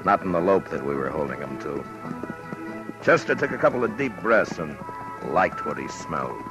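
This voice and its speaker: A man's voice: a bass voice, sing-song. A man acting a stern, weary frontier lawman who narrates his story tersely in a 1950s radio western. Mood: easy, matter-of-fact. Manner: terse, grave, deliberate storytelling. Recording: vintage 1950s radio recording with music.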